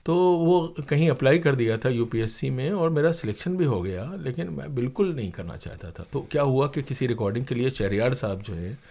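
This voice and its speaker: A man's voice: medium pitch, lively intonation, brisk, few pauses, dark tone. Older man telling anecdotes. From India. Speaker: interview guest, broadcaster and playwright. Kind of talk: conversational anecdotal storytelling.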